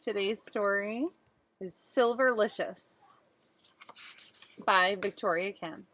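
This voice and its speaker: A woman's voice: medium pitch, takes it slowly, slight background noise. A woman teacher reading a picture book aloud expressively for young children. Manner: expressive, addressing child listeners.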